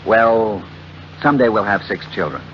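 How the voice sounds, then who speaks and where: An actor playing a doting, proud husband with a hearty, theatrical manner, in 1940s radio drama. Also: male voice, on the low side, normal speed, close to an everyday voice. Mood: affectionate, proud. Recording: muffled, hissy old radio recording.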